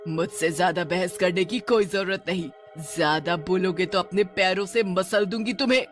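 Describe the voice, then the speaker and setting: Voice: mid-range voice, moderate pace, faint music behind, natural voice. An actor voicing a cruel old female witch in a cartoon, gloating and menacing between cackles. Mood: threatening. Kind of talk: cartoon voice acting.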